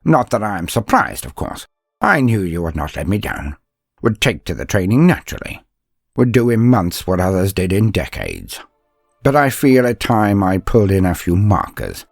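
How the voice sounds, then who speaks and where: A man voicing a stern, proud old inquisitor mentor in an audio story, speaking in a measured, commanding, instructive way. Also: lowish voice, big pitch swings, creaky, dry recording, studio quality, faint music behind, exaggerated voice. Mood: approving, exacting.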